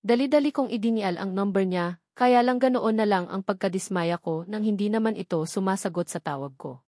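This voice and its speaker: A woman's voice: medium pitch, brisk, dark tone, no echo. Filipino woman narrating fiction, dry close sound. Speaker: audiobook narrator. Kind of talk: first-person romance novel narration.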